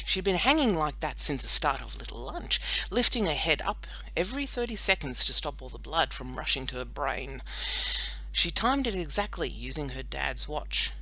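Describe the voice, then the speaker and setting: A woman narrating a children's audiobook in an expressive, scripted storytelling style. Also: low voice, poor audio.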